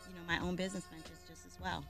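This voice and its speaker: A woman's voice: fairly low pitch, level tone, very fast, gravelly, a bit bright, faint music behind. A woman from Boston, a guest on the show, talking casually with lots of ums and restarts. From Boston, Massachusetts. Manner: chatty, lots of ums and restarts, laughs easily. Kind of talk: casual interview talk.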